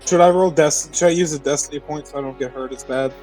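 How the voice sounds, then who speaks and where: A man's voice: fairly high pitch, fast, a little mellow, faint music behind, poor audio. A man chatting casually and informally as a player in a tabletop role-playing game, prone to swearing.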